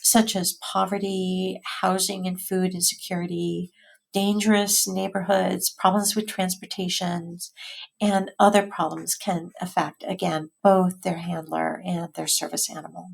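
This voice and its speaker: A woman with an American accent giving articulate, measured explanations as an expert guest in an interview. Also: fairly low pitch, flat delivery, gravelly, slightly rough. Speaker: expert guest, physician and health policy researcher.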